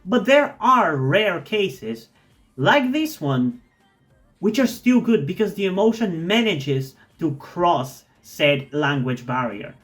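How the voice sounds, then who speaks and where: A man's voice: high voice, very animated, takes it slowly, fairly bright tone, faint music behind. A non-native English-speaking man giving a thoughtful, rambling reaction to a song, full of fillers and restarts. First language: not English. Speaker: music reactor. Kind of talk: casual music reaction commentary.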